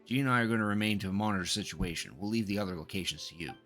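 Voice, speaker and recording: A man with a low voice live streaming a game, reading the dialogue aloud and stumbling now and then before correcting himself. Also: racing, fairly bright tone. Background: game music.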